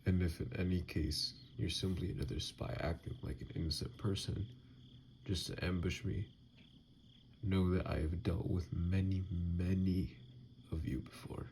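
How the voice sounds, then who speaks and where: A man playing a wounded, bitter, self-loathing vampire in an ASMR audio drama, brooding and halting, with a gravelly, breathy voice that often drops near a whisper. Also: low-pitched, steady tone, a little mellow, a little hiss or hum. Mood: pleading, threatening.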